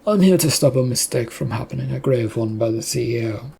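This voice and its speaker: A narrator voicing an imposing, haughty, bored man in a suit for a story, with a husky voice. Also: mid-range voice, expressive, fairly quick, mellow, a little hiss or hum. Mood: imperious.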